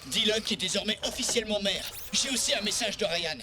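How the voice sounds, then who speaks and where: An actor playing a quick-talking male sidekick in a French cartoon dub. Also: high-pitched, noisy background, close to an everyday voice. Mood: brisk.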